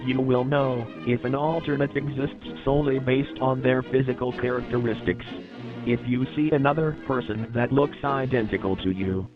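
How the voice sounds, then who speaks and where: A man playing a formal emergency broadcast announcer, giving measured, grave safety instructions in an analog horror video. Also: mid-range voice, close to an everyday voice. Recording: dull lo-fi emergency tape, music behind.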